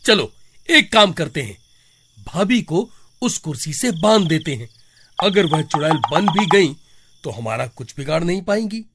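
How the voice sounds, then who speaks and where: A man's voice: fairly high pitch, big pitch swings, measured pace, fairly bright tone, heavily acted. A man playing a helpful, placating neighbour in a dramatised comic horror story.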